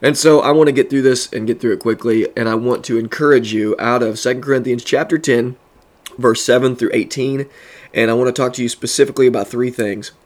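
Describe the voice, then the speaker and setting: A man's voice: medium pitch, fairly even intonation, a little fast. A man with a mid-range voice, stuffed up and congested, talking to fellow pastors in an earnest, preacherly way. He leans into his key points as a podcast host giving devotional teaching.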